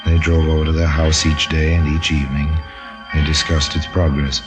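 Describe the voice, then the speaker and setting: A man hosting and narrating an old-time radio mystery, wry and deliberate, with a macabre relish. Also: very deep voice, level tone, music in the background. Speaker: narrator and host.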